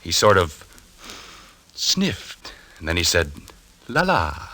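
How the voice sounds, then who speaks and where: A man's voice: low voice, wildly swooping pitch, slow pace, bright, forceful tone, heavily acted. An actor playing an irritable, obsessive husband haunted by his dreams, testy and insistent, in the style of 1940s radio drama. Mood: agitated, indignant. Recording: vintage 1940s radio recording.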